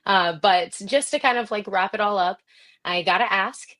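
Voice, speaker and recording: A woman's voice: mid-range voice, moderate pace, a bit bright. A woman hosting a podcast interview in a casual, conversational way, informal and full of appreciation for her guest. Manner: informal, effusively appreciative, affirming. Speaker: podcast host.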